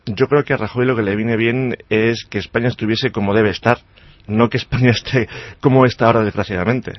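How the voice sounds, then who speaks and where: A man's voice: medium pitch, fairly even intonation, brisk, dry recording, highs cut off. Earnest man in his forties. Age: 40s. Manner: earnest, hesitant with many restarts. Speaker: politician guest. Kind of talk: radio interview.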